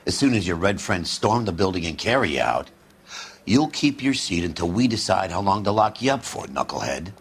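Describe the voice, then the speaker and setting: Threatening old-school detective; deep, sardonic, gruff, crime game scene, noisy recording. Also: male voice, very animated, normal speed, exaggerated voice. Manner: sardonic, tough. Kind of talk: dramatic video game acting.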